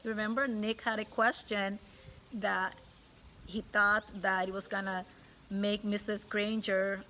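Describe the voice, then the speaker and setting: A woman teacher reading a storybook aloud to children, pausing to ask questions and explain things simply. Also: medium pitch, pushed and bright, a little hiss or hum, low-quality recording.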